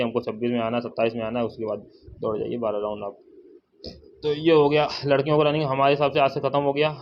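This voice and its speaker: Flat-toned man, dull recording. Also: medium pitch. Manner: informal, repetitive, addresses listeners as brother. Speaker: exam-update YouTuber and channel host. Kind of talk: casual informational update to viewers. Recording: dull lo-fi sound, faint noise, birds.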